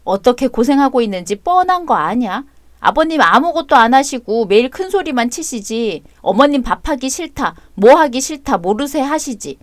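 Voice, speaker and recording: A woman's voice: a little high, no echo, studio quality, natural voice. Woman as a cool blunt wife. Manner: calm, mocking, blunt. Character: cool sharp-tongued mother and wife.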